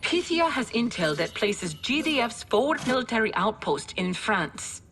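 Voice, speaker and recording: A woman's voice: on the high side, very animated, normal speed, gravelly, a bit bright, slight background noise, heavily acted. An actress playing a polite female staff officer, giving a formal, courteous mission briefing for a video game cutscene.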